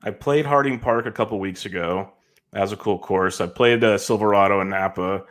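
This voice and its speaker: A man's voice: lowish voice, brisk, fairly bright tone. A man in his thirties from California, a podcast guest chatting casually in a rambling way and laughing often.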